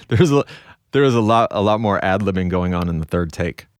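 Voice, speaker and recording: Deep-voiced man hosting a casual podcast. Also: animated, moderate pace, clean tone, no echo, clean studio sound. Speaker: podcast co-host. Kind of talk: casual, informal podcast chat.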